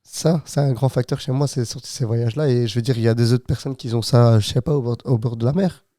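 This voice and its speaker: A man's voice: medium pitch, fast, dark tone, no echo, studio quality. A man with a Flemish accent chatting as a podcast guest, hesitant, with many fillers and restarts. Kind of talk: casual podcast chat. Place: hot podcast studio.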